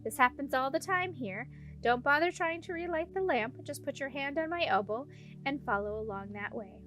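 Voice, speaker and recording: A woman's voice: high voice, lively intonation, normal speed, fairly bright tone, soft background music. A woman voicing a matter-of-fact female dragon in a storybook, a protective guide who instructs and reassures. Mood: reassuring.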